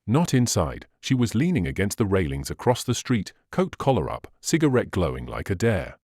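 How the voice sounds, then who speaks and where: A man reads crime fiction as a dramatic audiobook narrator. His voice sits a little low and swings widely in pitch. The sound is close and dry. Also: huge pitch swings, moderate pace, a little mellow, husky, no room sound.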